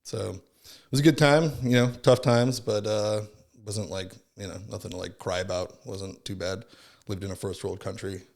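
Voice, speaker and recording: Man in his thirties, podcast guest, lowish voice, loose reflective talk, lots of fillers. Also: steady tone, moderate pace, gravelly, a little mellow. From Southern California, born in Finland. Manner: rambling, many fillers like "like" and "right?". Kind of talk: casual reflective chat.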